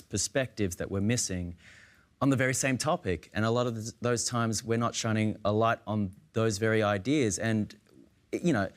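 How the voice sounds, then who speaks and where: An Australian man, an actor on a roundtable, speaking in a searching, halting way with many restarts. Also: lowish voice. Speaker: actor guest. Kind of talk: roundtable conversation.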